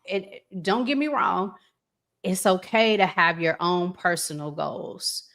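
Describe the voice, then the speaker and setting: A woman's voice: lowish voice, lively intonation, takes it slowly, a bit bright. A woman hosting a relationship discussion in an earnest, reflective conversational style, repeating phrases for emphasis. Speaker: relationship podcast host asking questions.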